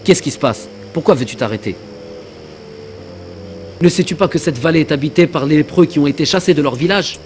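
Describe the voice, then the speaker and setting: A man's voice: a little high, fairly quick, long pauses, darkish tone, slight background noise, lightly acted. A man voicing a greedy, cowardly traveling companion in a religious cartoon, given to sly muttered asides and jittery protests. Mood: defensive, uneasy. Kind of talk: dubbed religious cartoon acting. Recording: music under the voice.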